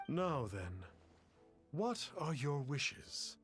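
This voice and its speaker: An actor voicing a masked self-styled sage who speaks in a grandiose, archaic way. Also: male voice, a little high, sing-song, very slow, a bit bright, full character voice. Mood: lofty. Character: masked pompous fake sage, middle-aged man. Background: game music.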